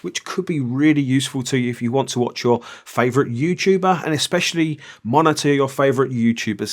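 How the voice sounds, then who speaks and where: A middle-aged man presents a gear review. His voice sits at a medium pitch. He explains things in a measured, conversational way and adds his own opinions as he goes. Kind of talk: product review to camera.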